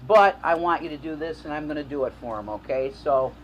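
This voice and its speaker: A man's voice: fairly high pitch, brisk, slight background noise, rough recording. A man rambling and repeating himself in a clip recorded in a hotel room. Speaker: streamer in a played clip. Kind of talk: rambling video address.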